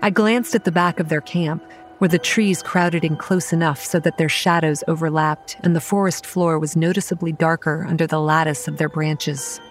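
A young woman playing a wry campground manager, telling a horror story in a measured, scripted first-person style. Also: deep voice, fairly even intonation, natural voice. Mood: grave. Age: young adult. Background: music.

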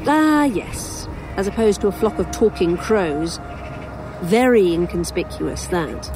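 A woman's voice: mid-range voice, big pitch swings, slow pace, music in the background. A woman playing a defiant, weary dead writer in an audio horror drama, with a British accent and a dry, wry delivery. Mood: sarcastic.

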